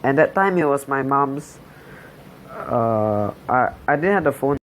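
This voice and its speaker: Slow, halting teen guy. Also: mid-range voice, lively intonation, fairly bright tone, a little hiss or hum, low-quality recording. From Singapore. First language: Chinese. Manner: hesitant, halting, many fillers and restarts. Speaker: channel host. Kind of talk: personal life story voice-over.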